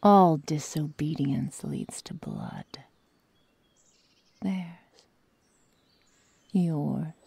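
A woman voicing a sadistic, predatory young werewolf girl in an ASMR roleplay, whispering and murmuring with slow, taunting menace. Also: low-pitched, wildly swooping pitch, darkish tone, no echo, heavily acted. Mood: sadistic, gloating. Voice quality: breathy, husky whisper with gravelly murmurs.

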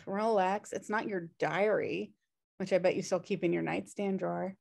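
A woman's voice: lowish voice, steady tone, moderate pace, creaky, a little mellow. A woman playing a sardonic, pushy slacker sister in her thirties, dry and teasing, at a comedy table read on a video call. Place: home room on a video call.

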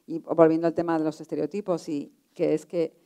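A woman's voice: sits low, little pitch movement, brisk, warm tone. A middle-aged woman moderating a panel in an informal, conversational way, rephrasing points and offering examples. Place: indoor auditorium stage, seated on a panel.

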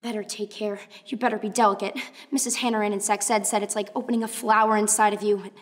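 A woman's voice: mid-range voice, level tone, fast, big-room sound, close to an everyday voice. A woman playing a brainy, nervous, sardonic teenage girl inventor in a radio play, wordy and wry. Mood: anxious, sardonic. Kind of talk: radio play monologue and dialogue.

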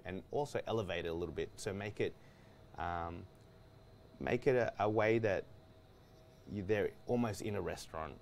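A man's voice: low voice, a little hiss or hum. A man, a guest chef telling his story in a studio kitchen, with lots of fillers and restarts. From Australia. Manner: rambling, many restarts and fillers. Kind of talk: casual studio interview.

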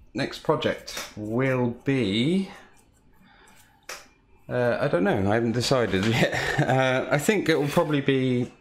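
A man from the United Kingdom, a home cockpit builder, calmly walking through his hobby build with frequent "uh" fillers. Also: mid-range voice, a little hiss or hum. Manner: calm, unhurried, frequent "uh" fillers. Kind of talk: casual hobby walkthrough.

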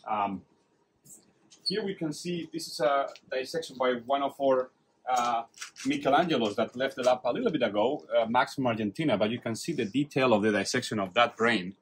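A middle-aged man, a neurosurgeon leading a casual tour of an anatomy lab, praising the work in an enthusiastic, halting way with many fillers. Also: mid-range voice, slight background noise.

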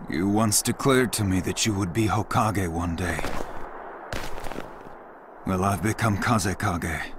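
A man playing a solemn, earnest young ninja leader in an anime dub, speaking gravely and with measured deliberation. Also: fairly low pitch, background noise. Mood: stern. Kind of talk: dramatic anime dub acting. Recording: loud music behind the voice.

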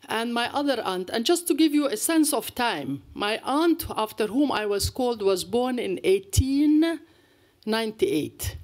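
Older palestinian woman telling stories in a lecture, lively, gesturing a lot at the podium mic. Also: fairly high pitch. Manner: anecdotal and animated, with gestures. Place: lecture hall. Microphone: podium microphone.

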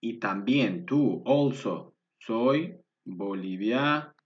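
Man, teacher, slow, self-correcting, dull lo-fi sound. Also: on the low side, expressive. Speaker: Spanish teacher. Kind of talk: informal lesson commentary over videos. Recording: dull lo-fi voice with faint music.

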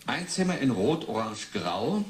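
Radio play report voice: detached man, slow clipped phrases, roomy sound with faint noise. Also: medium pitch, sing-song. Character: male report voice cataloguing a flat, impersonal and clipped.

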